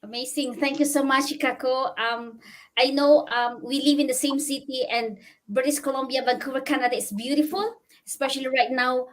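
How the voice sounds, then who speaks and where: A woman's voice: high-pitched, steady tone, normal speed. A woman hosting a livestream interview, addressing viewers in an effusive, emphatic way and often repeating her phrases. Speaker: host interviewing a guest.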